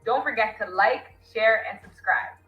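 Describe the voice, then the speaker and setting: A woman giving a quick upbeat shout-out for a channel in a recorded promo clip. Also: a little high. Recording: dull roomy clip with faint music.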